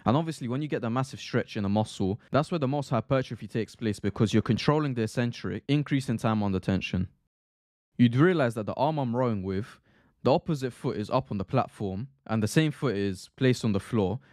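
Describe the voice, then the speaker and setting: A man's voice: mid-range voice, brisk, darkish tone, dry recording, clean studio sound. A young man narrating his own gym vlog, explaining training in an earnest, instructive way and repeating phrases for emphasis. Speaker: fitness vlogger.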